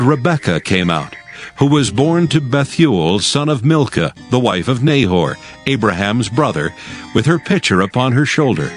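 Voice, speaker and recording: A man narrating scripture for a dramatized audio Bible in a formal storytelling manner. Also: medium pitch.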